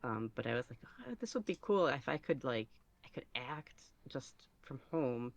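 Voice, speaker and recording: A woman's voice: very deep voice, animated, moderate pace, dry recording. A woman chatting casually as an interview guest, hesitant, rambling and self-deprecating, on a dull, muffled recording. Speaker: podcast guest, ASMR roleplay creator.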